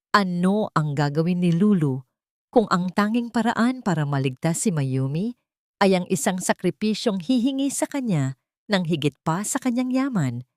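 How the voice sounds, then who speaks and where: Low-voiced woman narrating drama, dry recording. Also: a little mellow, no room sound. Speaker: audiobook narrator. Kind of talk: dramatic scripted story narration.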